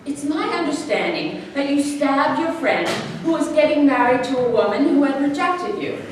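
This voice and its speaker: An actress playing a middle-aged court doctor in a staged play reading, formal, composed and probing, with clipped questions. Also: female voice, sits high, animated, moderate pace. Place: live staged reading in an echoing room. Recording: echoey room, distant mic, background hiss.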